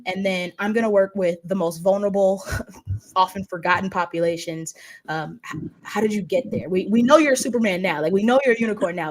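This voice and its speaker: A woman hosting a casual interview, chatty and informal, circling back over her phrases as she talks. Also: medium pitch. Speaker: interview host.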